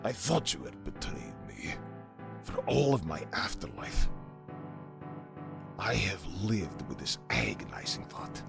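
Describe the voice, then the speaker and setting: A tormented, guilt-ridden man from a visual novel game. The voice is low and creaky with extremely dramatic swings. The delivery is halting and confessional, over clear music. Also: huge pitch swings, heavily acted. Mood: bitter confession.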